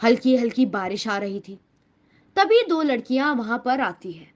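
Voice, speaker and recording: A woman's voice: a little high, lively intonation, normal speed, lo-fi sound. A woman narrating a scripted children's story as the storyteller.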